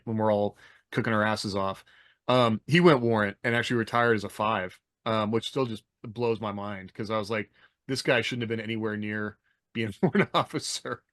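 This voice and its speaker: A man's voice: mid-range voice, fairly bright tone, no room sound, clean studio sound. An American man co-hosting a podcast in casual chat, telling anecdotes in a loose, informal, rambling way. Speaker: podcast co-host.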